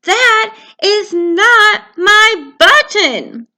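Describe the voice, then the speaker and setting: A woman's voice: high-pitched, sing-song, bright, forceful tone, pitched above the performer's own voice, exaggerated voice. A woman voicing a fussy, grumpy male toad who gets upset easily, in a storybook reading. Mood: indignant. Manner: whiny and indignant, drawn-out complaints.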